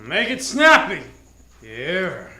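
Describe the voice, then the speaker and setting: An actor playing a surly, demanding drunk barfly in a 1940s radio drama. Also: male voice, high voice, wildly swooping pitch, drawn-out words, bright, forceful tone, heavily acted. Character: surly drunk male barfly with a mean grin. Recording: live theater stage, music underneath.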